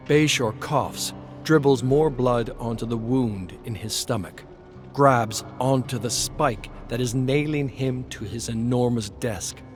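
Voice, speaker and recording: A man narrating a scripted game story in a dramatic storytelling style. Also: mid-range voice. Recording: music under the voice.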